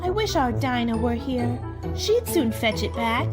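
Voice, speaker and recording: A woman's voice: on the high side, very animated, a little slow. A woman playing a polite, bewildered little girl in a storybook radio play, earnest and apologetic. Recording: music behind the voice.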